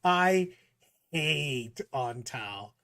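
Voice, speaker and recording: A man's voice: medium pitch, animated, drawn-out words, fairly bright tone. A man co-hosting a casual tabletop gaming discussion, talking emphatically and repeating words as he starts his points. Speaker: podcast co-host. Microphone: studio mic.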